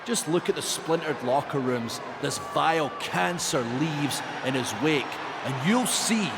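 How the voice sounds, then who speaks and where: Gravelly, vengeful Scottish wrestler. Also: male voice, on the high side, lively intonation, a little slow, a loud crowd, heavy background noise. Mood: scornful. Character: brooding, vengeful male pro wrestler in his prime. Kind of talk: video game cutscene acting, wrestling promo.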